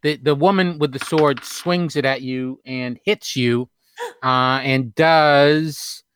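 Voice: medium pitch, slow, fairly bright tone, slight background noise. A game master narrating a tabletop game, hesitant with many fillers and restarts.

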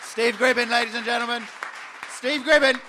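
A man's voice: very high voice, fairly even intonation, normal speed, crowd noise, noisy background. A man hosting a live comedy show from a pub room, introducing acts with wry jokes. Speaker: host.

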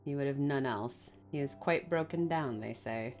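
A woman's voice: a bass voice, normal speed, a little mellow, soft background music, voice pushed down. A woman voicing a grave middle-aged male innkeeper who speaks in plain, old-fashioned country words and is deferential and sorrowful.

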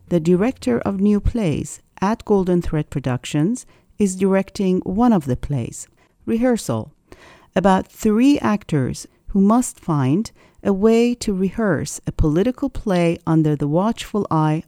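A woman reporter reading a scripted news feature in a measured, even delivery. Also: mid-range voice, no room sound, studio quality.

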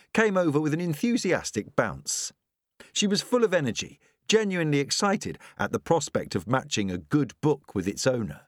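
Man narrating, lively pitch, dry sound. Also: dry recording. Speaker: audiobook narrator. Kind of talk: scripted narration of a novel.

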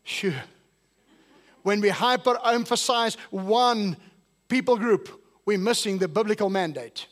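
High-voiced male pastor preaching. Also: unhurried, long pauses. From South Africa. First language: not English. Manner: preaching to a congregation, asking the crowd questions. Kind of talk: sermon. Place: church service.

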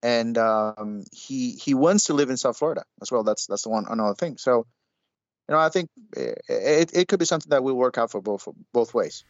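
A man on a sports podcast talks things over casually, stumbling through restarts and "um"s. His voice is mid-pitched and sounds dry and slightly muffled, with no room around it. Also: animated, no echo. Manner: halting, lots of restarts and "um". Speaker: podcast panelist.

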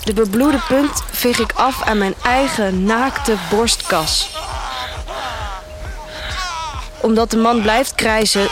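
A woman's voice: a little high, natural voice. A woman playing a detached young female protester in a dystopian audio drama, narrating in literary first person. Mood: intense, brutal. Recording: music under the voice. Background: music.

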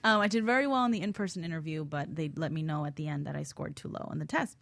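A woman telling a personal story on a talk show, acting out her own past thoughts in a lively way. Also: low-pitched, fast, creaky. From Miami. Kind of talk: casual storytelling.

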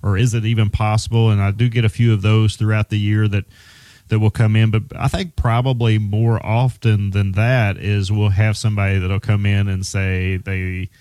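A financial advisor hosts a radio show in a relaxed, plainspoken way, often restarting his sentences. His voice sits low and sounds dry and close to the mic. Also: normal speed, dry recording. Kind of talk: conversational radio finance talk.

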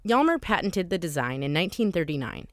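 Low-voiced woman narrating a story. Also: very animated, brisk, dry recording, clean studio sound. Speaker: host. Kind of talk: scripted documentary narration.

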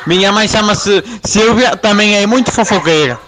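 A man's voice: high-pitched, normal speed. A man from Porto with a European Portuguese accent, leaving a casual message for a radio show. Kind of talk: casual chat.

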